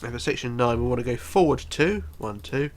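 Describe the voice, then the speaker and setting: A man's voice: mid-range voice, animated, brisk. A man with a bunged-up, congested voice giving a casual step-by-step building tutorial, counting aloud methodically. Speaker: tutorial host.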